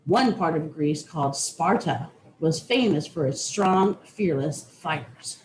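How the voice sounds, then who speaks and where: A woman reading a children's nonfiction book aloud with deliberate, teacherly phrasing. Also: low voice, animated, a little mellow, slight background noise, poor audio. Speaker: reader.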